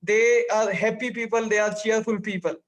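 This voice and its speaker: A man's voice: high voice, fairly even intonation, moderate pace, low-quality recording. A man leading an online club meeting on a video call, speaking politely with formal phrasing. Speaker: meeting toastmaster and general evaluator.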